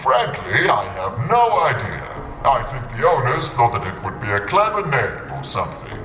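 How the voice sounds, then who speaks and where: A man playing an animated stone bust that serves as a courteous, officious warehouse clerk in a fantasy audio drama. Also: medium pitch, normal speed, dull audio. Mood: frank. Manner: formal, officious, customer-service politeness. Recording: long echoing reverb, cavernous hall.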